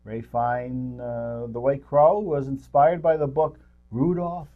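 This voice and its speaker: A man's voice: medium pitch, steady tone, takes it slowly, phone-quality sound, crowd noise. An older man co-hosting a casual movie-review chat in a rambling way. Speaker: co-host.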